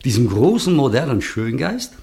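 An actor playing an effusive, courteous gentleman host who adores everything modern, in a radio drama. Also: medium pitch, normal speed, creaky. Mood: amused admiration. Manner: gushing, excitable, courteous.

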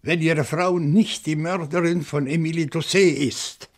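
An actor playing an agitated, accusing professor who questions deliberately and dramatically in a German radio crime drama. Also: male voice, a little high, steady tone. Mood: grave, deductive.

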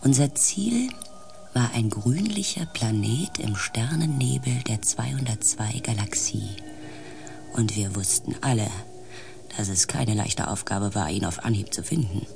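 An actress playing a thoughtful spaceship team coordinator who narrates a radio drama in a reflective manner. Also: female voice, rumbling low, animated, measured pace, vocal fry, darkish tone, faint music behind, heavily acted.

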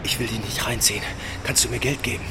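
A man's voice: medium pitch, fairly even intonation, quick pace, vocal fry, very noisy, natural voice. A man acting a desperate, secretive fugitive with urgent delivery in a radio drama. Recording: music behind the voice.

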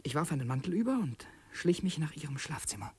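An actor playing a secretive, brooding would-be assassin in a radio drama, intense and introspective, as if confessing to himself. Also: male voice, a little high, very animated, a little mellow, breathy edge, no room sound, full character voice. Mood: agitated, calculating. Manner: intense, introspective, halting self-questioning. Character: brooding young male conspirator and would-be assassin, torn and secretive.

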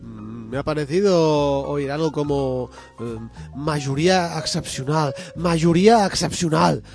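A man's voice: fairly high pitch, unhurried, gravelly, darkish tone, soft background music. A man playing a blunt, evasive hospital doctor in a comic radio soap, hesitant and then crudely offhand. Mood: matter-of-fact.

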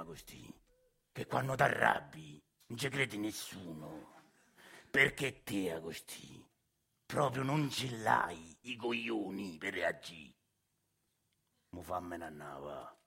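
A man acts out a shady Roman crony on stage, all false friendship and quiet menace. He drawls slowly and condescendingly, with a sharp edge to the voice and a Roman accent. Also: on the low side, animated, vocal fry, slightly rough, voice pushed down, heavily acted. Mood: mocking, menacing.

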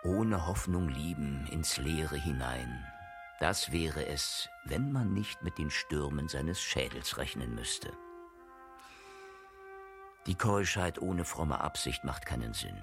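A sardonic worldly friend in a radio play, super deep, lively pitch, kinda preachy. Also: male voice, takes it slowly, music in the background, heavily acted. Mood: sardonic lecturing. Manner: rhetorical, declamatory, sardonic. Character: worldly cynical male friend, lecturing with learned bawdy irony. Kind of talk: German radio play acting.

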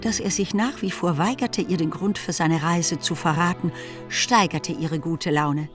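A woman's voice: fairly low pitch, unhurried, faint music behind. A woman reading scripted literary narration for a radio play in a composed storytelling manner.

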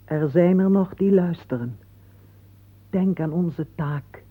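An actor in a radio play voicing an earnest, dutiful ghost of a fallen soldier. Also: male voice, fairly high pitch, slow, husky. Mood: earnest, consoling. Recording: thin phone-like old radio recording.

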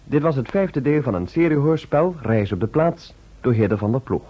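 A man's voice: medium pitch, lively intonation, normal speed, dark tone, husky. A man announcing radio drama credits in a formal, vintage broadcast style. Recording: dull old radio recording.

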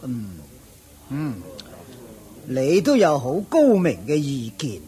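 A man's voice: medium pitch, sing-song, slow, warm tone. A man playing a complacent senior ascetic master in a radio drama, hemming and sighing in Cantonese with a Hong Kong accent. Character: senior ascetic master, dogmatic, complacent old Taoist-style teacher. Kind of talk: Buddhist radio drama acting.

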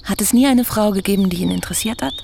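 Radio drama: a young woman voicing a self-assured writer who keeps asking, steady and composed, at a middle pitch. Faint crickets sound outdoors behind her. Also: fast, creaky, background noise, close to an everyday voice. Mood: calm, probing. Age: young adult. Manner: persistent, calm questioning.

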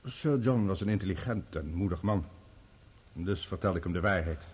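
A dignified physician testifying in a courtroom radio drama. His low voice is measured, formal and matter-of-fact. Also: a little mellow, breathy edge. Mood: grave, factual. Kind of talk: radio play courtroom acting.

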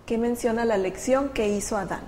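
A woman hosts a Bible study program, guiding guests in a friendly way with plenty of fillers. Her voice sits a little high. It carries a long reverb from the room. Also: normal speed, warm tone. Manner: friendly, leads discussion with fillers. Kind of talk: casual religious study chat.

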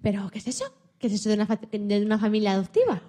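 A woman voicing a timid, hopeful young male dog who tells his own story in a children's radio play, expressive and a little halting. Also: mid-range voice, lightly acted. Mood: tender, earnest.

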